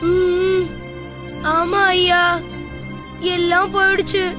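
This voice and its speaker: A woman's voice: very high-pitched, completely flat delivery, extremely slow, over loud music, heavily acted. A woman voicing a childish young brother cat in a children's cartoon, whining and pestering to get his way. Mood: whiny. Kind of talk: children's animated story acting.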